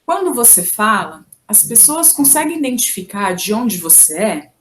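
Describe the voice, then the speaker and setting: A woman's voice: fairly high pitch, sing-song, moderate pace, a bit bright, a little hiss or hum. A woman from Brazil teaching a video lesson on language, explaining each point patiently and carefully. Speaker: Portuguese teacher.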